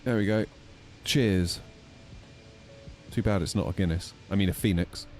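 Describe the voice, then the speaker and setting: A croaky man chatting casually with his viewers while flying a sim. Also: low voice, measured pace, darkish tone, soft background music. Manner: chatty, talks to chat readers. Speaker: streamer. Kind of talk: casual chat while flight simming.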